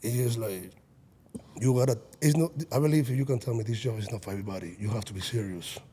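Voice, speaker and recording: A man's voice: lowish voice, fast, dark tone, slightly rough. A man in his late thirties, an executive protection agent and business owner, talking earnestly in a casual podcast chat with frequent restarts. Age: 30s.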